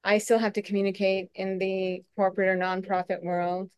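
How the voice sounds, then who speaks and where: A woman speaking candidly on a video call, her voice soft and a little shaky, with frequent restarts. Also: medium pitch, monotone, normal speed, smooth, no room sound. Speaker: webinar participant. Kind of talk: candid conversational sharing.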